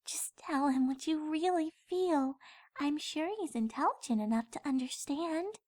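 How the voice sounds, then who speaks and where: A woman voicing a gentle, encouraging young female pony in a fan fiction audio drama. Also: sits high, measured pace, dry recording. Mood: reassuring. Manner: gentle, reassuring.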